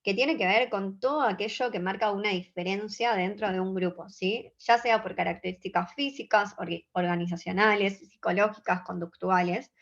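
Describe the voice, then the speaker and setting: A woman in her twenties with an Argentine accent, giving an earnest, measured conference talk and checking in with her audience. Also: mid-range voice, highs cut off. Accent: Argentine Spanish. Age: 20s. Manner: earnest, measured, engages the audience with short questions. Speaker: conference speaker.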